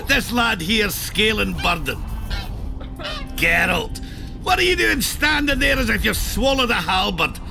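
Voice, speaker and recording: An actor playing a crude, blustering, hearty dwarf warrior telling tales in a video game. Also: male voice, high-pitched, sing-song, slow pace, long pauses, exaggerated voice. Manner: gruff, colourful, blustering. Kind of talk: video game character acting. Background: music.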